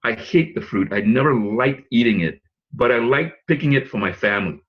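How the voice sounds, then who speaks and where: A man from Singapore talks through a business pitch in a slightly husky, mid-pitched voice. He wanders, restarts and chuckles as he goes. The recording is dull and lo-fi. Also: expressive, moderate pace. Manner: rambling with fillers and restarts, chuckling asides. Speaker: presenter. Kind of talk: webinar pitch talk.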